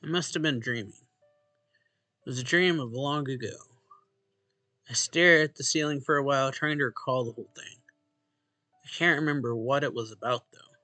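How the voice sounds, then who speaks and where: Bright-voiced man reading a visual novel aloud. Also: medium pitch, moderate pace, pauses often, no room sound, faint music behind. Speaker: let's play host.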